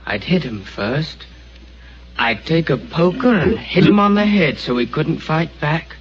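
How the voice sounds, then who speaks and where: An actor playing a simple, gentle young man devoted to his dog, who speaks in a childlike, halting, stammering way, heard on an old radio drama. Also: a little high, animated. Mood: childlike, eerie. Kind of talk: 1940s radio acting. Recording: dull old radio broadcast with faint hiss.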